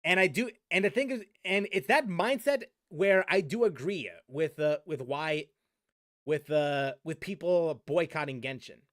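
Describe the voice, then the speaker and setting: Brief: male gaming streamer, high voice, dry close sound, rambling frustrated rant. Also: measured pace, a bit bright, no echo. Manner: rambling, many restarts and fillers, frustrated. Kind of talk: casual stream commentary.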